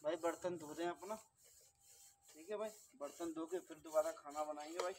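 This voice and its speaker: A man's voice: a little high, steady tone, normal speed. A man in his thirties, a truck driver, chatting casually to viewers through a phone camera held at arm's length while on the road. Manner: chatty, keeps saying "brother" and "friends" to viewers. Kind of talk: casual travel vlog narration. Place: outdoors by trucks on roads and highway stops. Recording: noisy outdoor phone recording.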